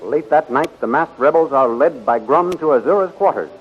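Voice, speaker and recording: A man's voice: medium pitch, expressive, moderate pace, soft background music. A man narrating and announcing in the scripted style of a 1930s radio serial, on a muffled old recording.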